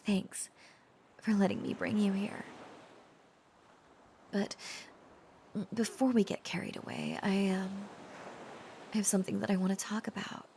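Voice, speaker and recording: Woman playing a gentle dragon-blooded girlfriend, protective, halting and breathy, often whispering, unhurried, creaky edge, romantic audio drama. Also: medium pitch, little pitch movement, slight background noise. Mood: nervous, hesitant. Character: tender, protective young dragon-descended woman confessing to her boyfriend. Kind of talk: intimate roleplay audio drama, softly acted.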